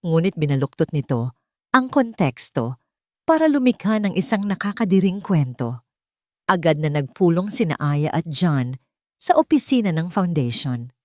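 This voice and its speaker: A woman's voice: sits low, darkish tone, dry recording, clean studio sound. A woman reading a scripted dramatic story as an audiobook narrator.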